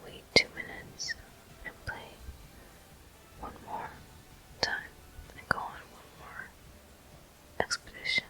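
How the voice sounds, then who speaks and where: A person whispering soft, breathy, unhurried commentary in short phrases while playing a video game for ASMR viewers. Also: low-quality recording. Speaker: video game player and host.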